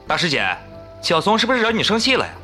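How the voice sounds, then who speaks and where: Fast, excitable young gamer guy. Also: high-pitched, faint music behind, full character voice. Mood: nosy, concerned. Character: eager, gossipy young male online gamer. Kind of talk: modern audio drama acting.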